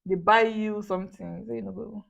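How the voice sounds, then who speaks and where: A woman's voice: mid-range voice, fairly even intonation, normal speed, gravelly, a bit bright, muffled recording. Blunt Nigerian woman, mid voice. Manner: blunt, matter-of-fact, slips into pidgin. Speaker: guest. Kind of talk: casual chat.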